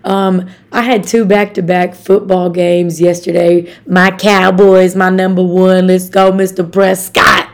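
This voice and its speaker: A young woman from Arkansas with a raspy voice, hosting a casual motivational podcast in an upbeat, chatty way and talking straight to her listeners. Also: on the low side, flat delivery, measured pace, hardly any pauses. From Arkansas, USA. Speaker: podcast host.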